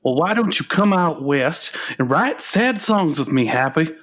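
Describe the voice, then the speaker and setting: A man's voice: a little high, expressive, measured pace, fairly bright tone. A young man playing a teasing, earnest son who writes country songs, in a comic radio play, with a dry manner.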